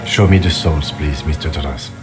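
Casting: courteous game detective questioning a suspect, very low voice, music underneath. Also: male voice, moderate pace. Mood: probing, polite. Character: polite, probing police investigator questioning a suspect. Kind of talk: video game dialogue. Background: background music.

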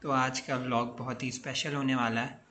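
A man's voice: medium pitch, flat delivery, normal speed, pushed and bright, a little hiss or hum. A man in his twenties vlogging about a restaurant buffet in a casual, chatty way, often saying "so guys" and mixing in English phrases. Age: 20s. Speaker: food vlogger. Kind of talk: casual vlog voice-over.

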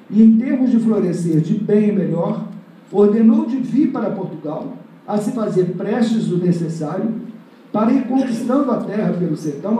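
A man's voice: high-pitched, warm tone, a little hiss or hum. An older man giving a lecture, reading an old historical text aloud and breaking off to comment on it, recorded from a distant mic in an auditorium. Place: auditorium with panel table. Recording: echoey hall recorded from afar.

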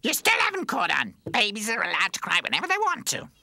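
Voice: wildly swooping pitch, fast talker, vocal fry, pushed and bright, dry recording, full character voice. A narrator voicing a bratty, selfish baby toy with a taunting, gloating manner in a children's story. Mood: smug. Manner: shrill, taunting, sing-song.

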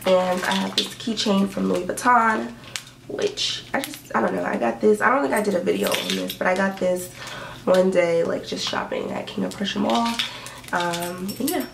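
Young woman, vlogger, gravelly edges, stuffy allergy voice, chatty and upbeat, echoey bedroom, camera a little distant. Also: mid-range voice, animated, normal speed, noisy background. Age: young adult. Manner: chatty, upbeat, lots of likes and ums. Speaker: beauty and fashion vlogger. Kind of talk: casual what's-in-my-bag chat. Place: bedroom indoors. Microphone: camera in front at desk distance. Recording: roomy bedroom echo, mic a bit far.

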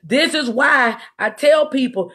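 A middle-aged woman preacher giving a fervent, emphatic livestreamed deliverance sermon in a husky voice. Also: high voice, expressive, measured pace, fairly bright tone. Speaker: preacher, self-styled apostle leading prayer. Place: room in a house, indoors.

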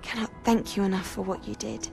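A woman's voice: medium pitch, steady tone, fairly quick, vocal fry, a little mellow, soft background music, close to an everyday voice. An actress playing a polite, earnest young female student of magic in a fantasy game cutscene. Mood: grateful, earnest. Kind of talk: dramatic game cutscene dialogue.

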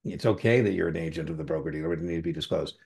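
A middle-aged American man with a gravelly voice tutoring one-on-one over a video call, informal, encouraging and given to tangents. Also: rumbling low, racing, a little mellow. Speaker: exam tutor. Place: indoor room on a video call.

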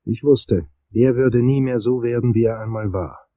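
An actor playing a wry, world-weary investigator who narrates his case in a crime audio drama, husky and dry in delivery. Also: mid-range voice, normal speed, warm tone, no room sound, lightly acted. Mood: brooding, pondering.

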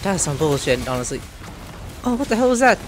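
Gaming host: excitable man, casual and sweary, wildly swinging pitch, faint music behind. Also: a little fast. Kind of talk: casual live gameplay commentary. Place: small room indoors at a computer. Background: faint game music.

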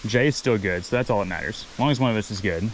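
A man hosting a fishing vlog outdoors by a waterfall creek, chatty and excited, laughing often as he talks from a head-mounted camera. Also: on the low side, quick pace, slight background noise, low-quality recording. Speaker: fishing channel host. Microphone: head-mounted camera mic.

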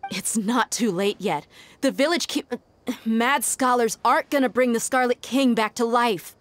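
A woman's voice: fairly high pitch, normal speed, dry recording, close to an everyday voice. An actress playing a tough, blunt, level-headed young mercenary woman in a video game, speaking firmly. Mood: urgent reasoning. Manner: firm, blunt, exasperated. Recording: faint music under the voice.

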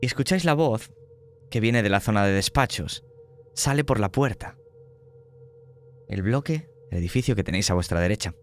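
A low-voiced man runs a tabletop horror game as its game master. He describes each scene in an unhurried, descriptive way over a faint hiss of background noise. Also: expressive.

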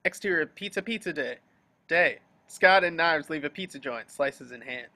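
A man's voice: on the high side, expressive, moderate pace, bright, forceful tone. A man reading scripted stage directions as the narrator of a table read. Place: remote group video call.

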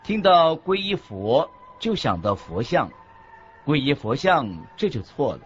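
A man's voice: medium pitch, sing-song, a little slow. A man reading a scripted religious teaching as the narrator of a radio lecture. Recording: dull lo-fi audio with background music.

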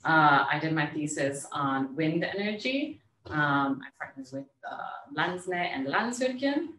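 A woman's voice: deep voice, lively intonation, unhurried, fairly bright tone, far from the mic. A young woman from Mexico, a co-founder talking casually to students about her path. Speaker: guest speaker, co-founder. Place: university classroom. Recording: echoey classroom, mic from afar.

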